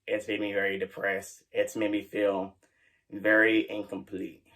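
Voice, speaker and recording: A man's voice: lowish voice, monotone, unhurried, pushed and bright. A man giving somber, earnest personal testimony in an interview.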